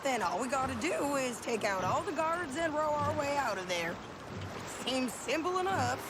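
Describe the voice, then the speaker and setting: A woman's voice: high-pitched, very animated, normal speed, very noisy. An actress playing a blunt, bossy frontier woman with an American accent in a dramatic video game. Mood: determined. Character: tough blunt bossy frontier woman, gun-toting and impatient.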